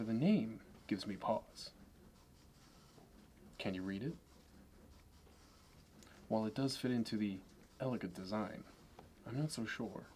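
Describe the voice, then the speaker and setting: Male actor as a smug investment banker, lowish pitch, measured, fastidious, contemptuous, ASMR roleplay, noisy background. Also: a little mellow. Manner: smooth sales talk, measured and fussy. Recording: clear background noise.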